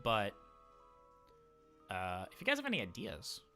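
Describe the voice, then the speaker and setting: A man's voice: on the low side, wildly swooping pitch, measured pace, bright, forceful tone, faint music behind. A man hosting a casual livestream, chatting in an informal, rambling, jokey way. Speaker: livestream host.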